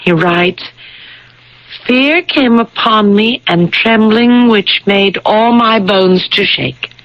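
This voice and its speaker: An actress playing a thoughtful, bookish young woman, speaking with deliberate dramatic phrasing in a radio mystery play. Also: mid-range voice, dry recording. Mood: grave, absorbed. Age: young adult. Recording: dull vintage radio broadcast.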